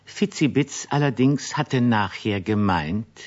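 A man with a husky voice narrating a children's radio play in a conversational, wry, understated way. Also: medium pitch, animated, slow pace. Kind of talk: storytelling addressed to children. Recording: dull vintage radio recording.

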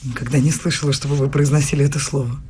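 An actress playing a proud, wounded young student in a radio play, with a low husky voice and pointed delivery. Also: female voice, fairly even intonation, fast talker, warm tone, exaggerated voice. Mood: indignant. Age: young adult. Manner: pointed, challenging.

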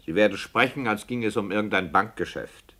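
A man's voice: fairly low pitch, fairly quick, a bit bright. An actor playing a man who questions the story and objects to the injustice. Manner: inquisitive, indignant. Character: male listener questioning the story. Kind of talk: radio drama acting.

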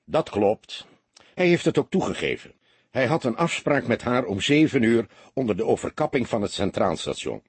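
A man voicing a seasoned, greying police detective in an audiobook, calm, sober and matter-of-fact. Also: mid-range voice, normal speed, slightly rough, no room sound.

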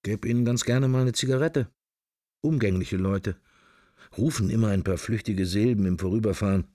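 A man's voice: lowish voice, moderate pace, dark tone, no room sound, clean studio sound, lightly acted. An actor playing a man's wandering, wry and melancholy inner thoughts in fragments, for a radio drama. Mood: idle musing. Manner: murmured, fragmentary, introspective. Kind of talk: radio drama interior monologue.

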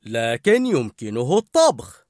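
A woman voicing a kindly older male chef who gently teaches and encourages. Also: very deep voice, huge pitch swings, measured pace, no echo, studio quality, exaggerated voice. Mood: correcting. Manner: warm approving teacher.

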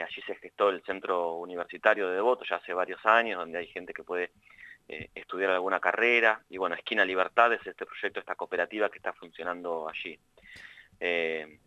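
A man with an Argentine accent giving a radio column over the phone, talking haltingly with many restarts. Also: low-pitched, bright, forceful tone, no echo, highs cut off. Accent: Argentine Spanish. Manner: hesitant, many restarts and fillers. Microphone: phone line.

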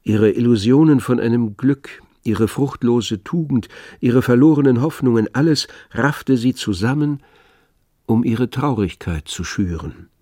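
A man narrating a radio play in a measured, grave, literary style. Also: mid-range voice, lively intonation, dark tone, no room sound, clean studio sound.

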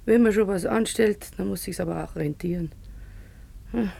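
Gravelly, streetwise woman. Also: mid-range voice, normal speed, mellow. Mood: confiding, nosy. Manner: confiding, colloquial, matter-of-fact. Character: streetwise, chatty woman, worldly and down on her luck. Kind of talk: naturalistic radio drama acting.

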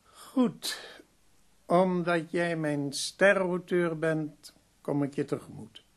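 An actor in a radio drama playing a courteous, shrewd publisher, measured and businesslike. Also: male voice, a little high. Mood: shrewd, firm. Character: courteous, shrewd male publisher visiting sick author. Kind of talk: radio drama narration and dialogue.

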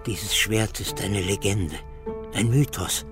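An actor playing an arrogant, obsessed older archaeology professor in a radio drama, lecturing and full of contempt. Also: male voice, on the low side, slow. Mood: fervent. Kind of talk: German radio drama acting. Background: music.

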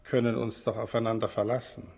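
An actor playing an obliging master car mechanic in a radio crime drama, clipped and matter-of-fact. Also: male voice, medium pitch, level tone, brisk, vocal fry, a little mellow, close to an everyday voice. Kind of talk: 1970s German radio crime drama acting.

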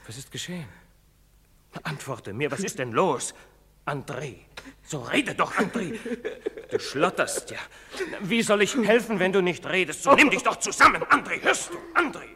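An actor playing an earnest priest in a stage drama, pleading and moralizing in rhetorical style. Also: male voice, high-pitched, very animated, normal speed, pushed and bright, exaggerated voice. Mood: agitated, pleading. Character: earnest, preaching priest, later old and guilt-ridden. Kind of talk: theatrical stage drama acting. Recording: reverberant theatre stage recording.

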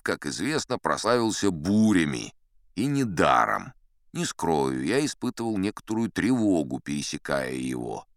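A man's voice: low voice, a bit bright, no room sound, studio quality, heavily acted. A man playing an old sea captain who tells tall tales in a sly, folksy, conversational way, as in a dramatized audiobook. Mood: self-satisfied, leisurely. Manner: conversational, sly, leisurely, addressing a young listener.

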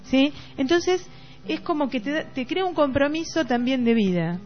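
Female radio host, middle-aged, Rioplatense accent, reflective and halting, with music playing behind a muffled recording. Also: sits high, sing-song. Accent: Rioplatense Argentine Spanish. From Argentina. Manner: halting, searching for words. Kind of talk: casual reflective radio discussion.

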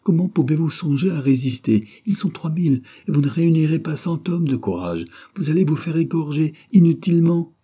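Hysterical old wife, acted by a man, higher-pitched, husky. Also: lively intonation, brisk, hardly any pauses, mellow. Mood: tearful pleading. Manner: pleading, theatrically desperate, clinging. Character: hysterical old wife feigning terror and despair.

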